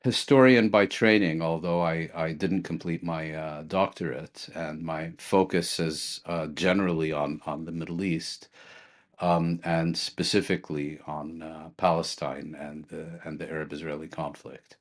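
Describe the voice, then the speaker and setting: A man from the Netherlands, a guest analyst answering interview questions in a measured, hesitant way with many restarts. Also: rumbling low, steady tone.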